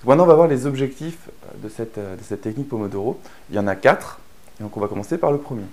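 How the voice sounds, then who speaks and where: Mellow-voiced French man teaching a course. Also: mid-range voice, animated, quick pace. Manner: chatty, many fillers and restarts. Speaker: online course instructor. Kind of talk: explanatory course narration.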